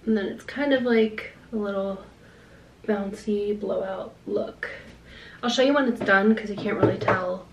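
A woman's voice: mid-range voice, a little slow, distant mic, background noise. A young woman in her twenties with a Long Island accent, chatting casually to camera at home, rambling with lots of "like" fillers. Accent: Long Island American. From Long Island, USA. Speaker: vlogger. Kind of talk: casual vlog chat. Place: small indoor room, then home kitchen.

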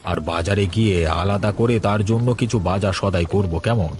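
A man's voice: fairly low pitch, fairly quick, noisy background, close to an everyday voice. An actor voicing an elderly village father and grandfather in a cartoon story, folksy and talkative. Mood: stubborn, cheerful. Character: elderly poor father and doting, carefree grandfather.

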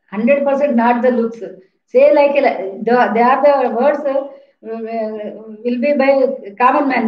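A woman in her fifties with an Indian accent, lecturing an online class in a didactic, repetitive way with questions to students. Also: on the high side. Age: 50s. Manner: didactic, repeats points, poses questions. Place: small plain room indoors. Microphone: webcam or laptop mic at a distance. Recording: roomy, echoing, dull laptop-mic sound.

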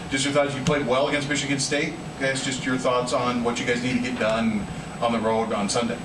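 A man's voice: medium pitch, level tone, brisk. A man asking a sports reporter's press conference questions, rambling with restarts, picked up off-camera from a distance in an echoey room with noise. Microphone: off-camera, picked up from far.

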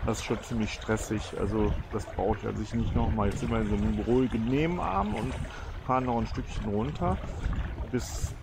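A man talking casually while sailing, thinking aloud in a relaxed, matter-of-fact way, recorded outdoors on a small boat. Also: fairly low pitch, fairly quick, vocal fry. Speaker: sailing vlogger. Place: outdoors on a small catamaran on open water. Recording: wind and water noise behind.

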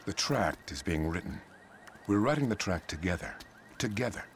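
Game role: sly cult guru man, lowish voice, expressive intonation, solemn, over clearly audible noise. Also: moderate pace. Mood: serene, sly. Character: serene, sly male cult leader. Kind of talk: video game cutscene acting.

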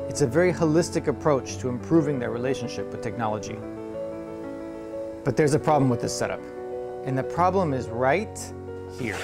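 A man in his forties presenting as a host to camera, explaining in a measured, scripted way, recorded on a lapel mic. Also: medium pitch. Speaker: host of an electronics build channel. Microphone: lapel microphone.

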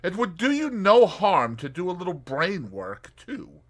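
A man reading an audiobook, voicing a brisk and determined young woman detective with emphatic, crisp speech. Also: high-pitched, huge pitch swings, voice pushed up, full character voice.

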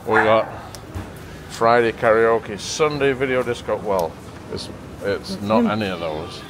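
A man's voice: lowish voice, very animated, unhurried, poor audio. A man in his forties walking between pubs, filming himself at arm's length and pointing things out in casual chat. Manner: upbeat, pointing things out as he walks. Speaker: travel vlogger. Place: pubs and town streets, indoors and outdoors. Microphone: handheld camera at arm's length.

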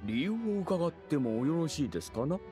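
アニメ調ゲームで、男性声優が演じる折り紙動物の年老いた長老。古風で丁寧な、おじいちゃんらしい優しい話し方。. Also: male voice, on the high side, big pitch swings, moderate pace, warm tone, soft background music. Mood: warm, obliging. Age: older. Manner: courtly, old-fashioned, grandfatherly. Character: kindly old male origami animal village elder. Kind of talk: anime video game voice acting.

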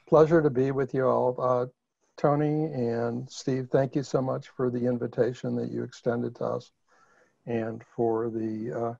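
A man's voice: medium pitch, flat delivery, unhurried, gravelly, mellow, no echo. A man with a Chicago accent presenting a webinar over a video call, talking conversationally with fillers and restarts. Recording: muffled video call audio.

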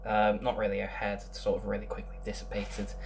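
A man's voice: on the low side, completely flat delivery, fairly quick, gravelly, darkish tone, a little hiss or hum. Chatty young man with ums. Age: young adult. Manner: chatty, rambling, lots of ums. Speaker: beer reviewer. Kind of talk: casual beer review vlog. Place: small room indoors.

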